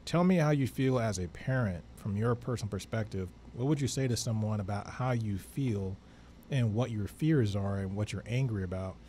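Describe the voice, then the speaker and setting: A man's voice: on the low side, normal speed, warm tone. American man hosting, with noise behind. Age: middle-aged. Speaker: radio show co-host. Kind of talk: conversational broadcast hosting. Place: small office studio, indoors. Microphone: close studio microphone with headphones.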